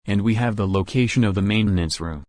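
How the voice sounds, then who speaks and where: A man's voice: lowish voice, fast, darkish tone, no echo, clean studio sound, lightly acted. A man playing an observant member of a monster-fighting team, speaking short plain lines in an amateur game drama. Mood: alert.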